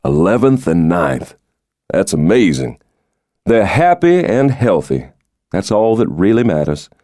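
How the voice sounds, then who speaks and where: A man reading an audiobook thriller, voicing a reserved, plain-spoken middle-aged country doctor in an understated, low-key way. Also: big pitch swings, measured pace, dark tone, no room sound, studio quality. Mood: low-key, sincere.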